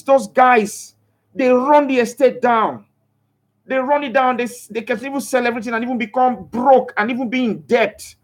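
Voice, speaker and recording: Preachy man exhorting a live audience. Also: extremely high pitch. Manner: exhortative, repeats phrases for emphasis; reads out and answers viewer comments. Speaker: live broadcaster promoting a crypto scheme. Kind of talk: motivational live broadcast, preachy.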